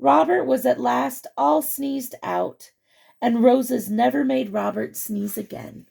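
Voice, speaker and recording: A woman hosts a children's storytime with a fairly high voice that crackles heavily with fry. She reads expressively, then chats to the young listeners, asking them questions and pointing out the pictures. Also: takes it slowly, warm tone. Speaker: storytime host reading a picture book to children. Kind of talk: read-aloud storytelling with chatty asides to young listeners.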